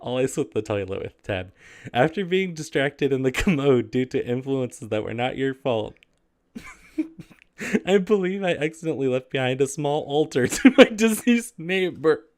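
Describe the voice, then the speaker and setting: A man's voice: medium pitch, big pitch swings, a little slow. A man casually hosting a video and reading humorous letters aloud, easily amused and prone to giggling. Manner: giggly, often breaking into laughter while reading.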